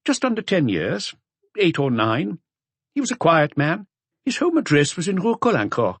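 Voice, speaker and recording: A man voicing a polite, helpful male witness with a measured, forthcoming manner in an audiobook. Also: a little high, wildly swooping pitch, slightly rough, dry recording, pitched above the performer's own voice, full character voice. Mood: polite, earnest.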